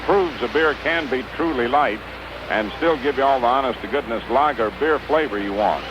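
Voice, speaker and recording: A noisy, muffled old radio broadcast carries an American man's baseball commentary. His voice sits at an average male pitch, and he speaks in measured, clipped phrases in a 1950s announcing style. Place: ballpark broadcast booth.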